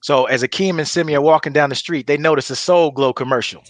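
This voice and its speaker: A man's voice: a little high, fast talker, a bit bright. A man reading stage directions as narrator of a comedy table read.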